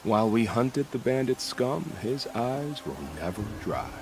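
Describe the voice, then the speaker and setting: An actor playing a wry, philosophical, bloodthirsty bandit hunter in a video game, with a sardonic, musing, theatrical delivery. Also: male voice, medium pitch, big pitch swings, measured pace, a little mellow, a little hiss or hum. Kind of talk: video game dialogue acting.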